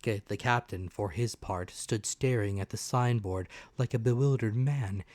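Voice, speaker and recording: A man's voice: fairly low pitch, normal speed, darkish tone, dry recording. A man giving a dramatic narrated reading of a classic adventure novel. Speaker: audiobook narrator.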